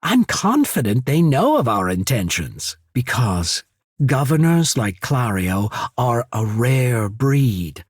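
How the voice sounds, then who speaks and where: A man's voice: medium pitch, very animated, slow, slightly rough, no echo, clean studio sound, exaggerated voice. A man voicing a composed, courteous and shrewd diplomat of an island empire in a fantasy video game, speaking formally and with understatement. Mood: measured, courteous. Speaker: voice actor for a video game character. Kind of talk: scripted fantasy game dialogue.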